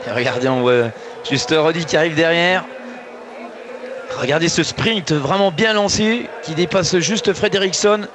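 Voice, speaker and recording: A man's voice: on the high side, measured pace. A man as expert co-commentator on a live ski race, gushing and explaining the tactics. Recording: live broadcast with loud crowd noise. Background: loud cheering crowd.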